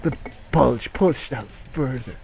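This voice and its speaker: Slow-paced man reading comically over music. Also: medium pitch, gravelly, darkish tone, a little hiss or hum. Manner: crude, sweary, irreverent. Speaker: reader and comedic streamer. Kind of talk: mock-dramatic story reading with comic asides.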